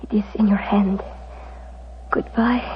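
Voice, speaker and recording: Casting: woman to play dying Romany fortune-teller, tender and solemn, breathy failing voice; dull 1940s radio sound with light noise. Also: mid-range voice, steady tone, close to an everyday voice. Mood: tender, fading. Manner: slow, solemn, tender delivery. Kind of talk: 1940s radio drama acting. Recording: muffled, noisy 1940s radio recording.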